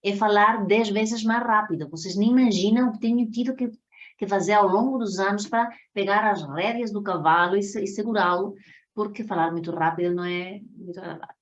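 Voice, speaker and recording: A woman's voice: mid-range voice, fairly quick, highs cut off. A woman from Spain with a Spanish accent, teaching an introductory online workshop in a didactic way, often checking in with her listeners. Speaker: psychotherapy teacher.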